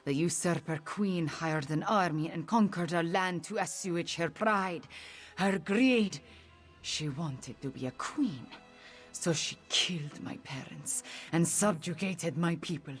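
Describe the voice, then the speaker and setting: A woman plays a solemn, wounded princess in exile from a fantasy video game. The voice is low and husky, and every line comes slowly and formally. Music plays softly behind the grave delivery. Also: natural voice. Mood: bitter, resolute. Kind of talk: video game character acting.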